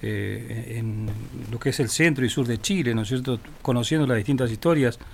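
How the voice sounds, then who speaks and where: A man's voice: medium pitch, normal speed, gravelly. A man with an Argentine Spanish accent who hosts a radio interview, asking conversational questions and giving short acknowledgments.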